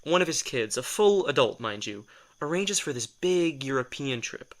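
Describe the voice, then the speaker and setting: A man acts out a sardonic, exasperated guy in an audio drama. His voice is bright and forward at an ordinary male pitch. He rambles wryly and defensively, as if in casual conversation. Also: expressive, moderate pace. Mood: wry, rambling. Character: sardonic, exasperated male telepath, former personal assistant to a writer.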